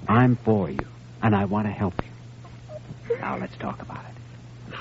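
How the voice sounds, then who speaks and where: An actor playing an earnest, compassionate young doctor with a probing manner, in vintage radio drama style. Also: male voice, fairly low pitch, wildly swooping pitch, slow, heavily acted. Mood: gently reassuring. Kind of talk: 1950s radio drama acting. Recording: muffled, faintly noisy vintage radio recording.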